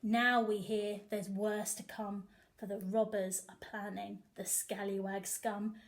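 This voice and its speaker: A woman teacher reading a picture book aloud to young children, with animated, sing-song emphasis. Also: mid-range voice, a little slow. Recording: echoey room.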